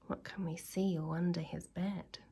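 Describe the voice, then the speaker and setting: A woman reading a children's storybook aloud like a teacher, with animated, dramatic emphasis and little asides to her young listeners. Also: on the low side, husky. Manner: expressive, with dramatic emphasis and exclamations; pauses to address young listeners with questions.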